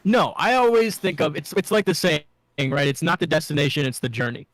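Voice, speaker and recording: Higher-pitched male co-host, closely recorded. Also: fairly quick, no echo. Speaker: podcast co-host. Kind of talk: casual pop culture discussion.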